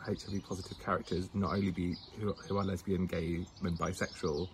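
Low-voiced young man reading notes. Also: flat delivery, brisk, noisy background. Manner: teasing, stumbles while reading, restarts words. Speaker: co-host. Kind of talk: casual chat, reading notes aloud. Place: outdoors in the sun.

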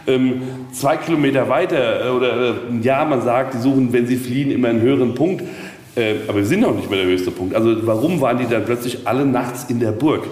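A man's voice: medium pitch, a little mellow. Middle-aged man in a very echoey space. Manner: rambling, circling explanations. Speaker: castle representative and guide. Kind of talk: casual explanatory interview talk. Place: reverberant space at a castle. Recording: echoey hall, faint ambient noise.

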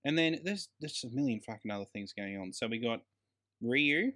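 A man's voice: mid-range voice, lively intonation, moderate pace, bright, forceful tone. A man co-hosting a casual film review chat, emphatic and slangy, with plenty of swearing. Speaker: podcast co-host.

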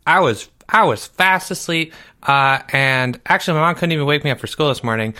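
An excitable, naive schoolboy, put on by a man, chats eagerly as a comic podcast guest. He rambles and overshares in a bright, fairly high voice. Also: a little fast. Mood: eager, rambling.

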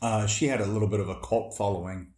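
A man's voice: lowish voice, steady tone, quick pace, a little mellow, roomy sound, slight background noise. A young man co-hosting a podcast, teasing and swearing in casual banter. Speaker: podcast co-host. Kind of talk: casual chat.

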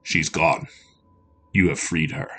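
A man voicing a calm rabbit-faced trickster spirit who speaks in a cryptic, deliberate manner. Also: rumbling low, husky, exaggerated voice. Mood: solemn.

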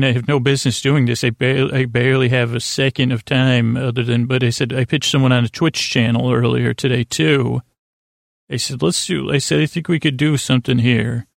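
A man hosting a sleep podcast, rambling in a halting, stammering, self-deprecating way that keeps circling back on itself. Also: mid-range voice, vocal fry, dry recording, studio quality. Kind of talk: rambling bedtime monologue.

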